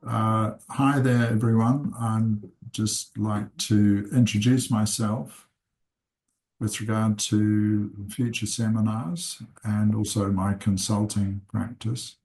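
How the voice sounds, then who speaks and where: A man in his seventies, born in England and raised in New Zealand, calmly introducing himself and his life story, pausing often. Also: lowish voice. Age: 70s. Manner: slow, unhurried, with frequent "uh" pauses. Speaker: seminar teacher and consultant. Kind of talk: unscripted personal introduction.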